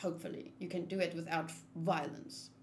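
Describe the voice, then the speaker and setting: A woman's voice: fairly low pitch, little pitch movement, a little fast, darkish tone, a little hiss or hum. A woman giving casual live game commentary, explaining her opinions in a chatty way as a streamer.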